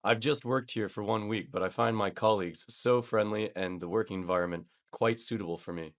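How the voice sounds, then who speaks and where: A man's voice: fairly low pitch, fairly quick, close to an everyday voice. A man voicing a polite office newcomer in a scripted English lesson dialogue. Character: polite male office newcomer, pleased with new job.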